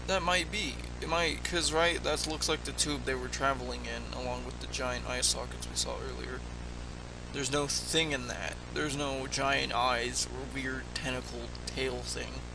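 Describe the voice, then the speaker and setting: A man's voice: medium pitch, steady tone, measured pace, background noise. A man giving casual, off-the-cuff reaction commentary as a host, musing and questioning aloud as he goes.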